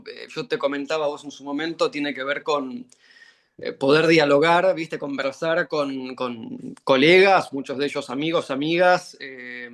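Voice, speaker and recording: A man's voice: fairly high pitch, a bit bright. A man with an Argentine accent hosting an interview over a video call, friendly and hesitant with many fillers. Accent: Argentine Spanish. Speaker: interview host.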